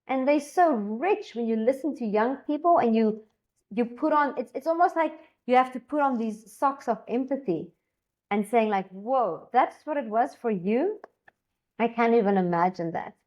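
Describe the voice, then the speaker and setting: A woman's voice: a little high, animated, normal speed, darkish tone. A woman from Africa, an Afrikaans speaker, talking casually and enthusiastically, rambling with many fillers and restarts. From South Africa. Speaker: co-founder and education designer. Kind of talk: casual conversation.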